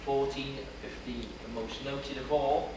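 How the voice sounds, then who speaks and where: A man's voice: medium pitch, steady tone, unhurried. Young Welsh man lecturing in an echoey hall. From Wales. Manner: theatrical, joking with the audience. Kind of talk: costumed history lecture. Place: lecture hall with projection screen. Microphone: far camera. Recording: echoey hall, distant camera, noisy old video.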